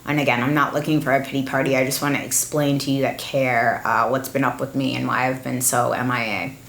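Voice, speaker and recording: A young adult woman giving a blunt, monotone life update to her camera at home, with fillers along the way. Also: a bass voice, brisk, vocal fry, echoey room. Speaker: beauty YouTuber. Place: room at home, echoey. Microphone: camera with ring light.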